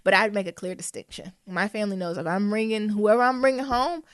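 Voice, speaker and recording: A woman's voice: mid-range voice, quick pace, no echo. A woman co-hosting a podcast, a little congested, talking frankly in casual chat and acting out quoted people as she tells stories. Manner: frank, animated storytelling with quoted voices. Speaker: podcast co-host.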